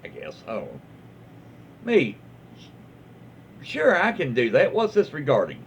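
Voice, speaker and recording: A man hosting and reading internet stories aloud in a folksy way, with dramatic pauses, emphasis and his own asides. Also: mid-range voice, wildly swooping pitch, highs cut off, slight background noise. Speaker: online story reader and host.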